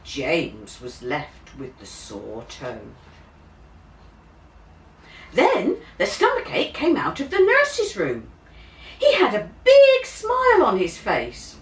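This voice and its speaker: A woman narrating a children's story expressively, with dramatic, deliberate, drawn-out emphasis. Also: mid-range voice, huge pitch swings. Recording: roomy, distant mic, faint hiss.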